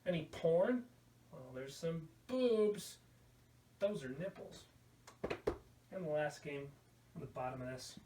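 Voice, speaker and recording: A man with a fairly high voice talks casually to the camera as a podcast host, wandering from item to item. His delivery is irreverent and crude. He sounds set back from the mic in a very echoey room, with faint noise behind him. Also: expressive, takes it slowly, long pauses, warm tone. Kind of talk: casual rambling show-and-tell to camera. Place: indoor room at home. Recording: roomy, distant mic, faint background noise.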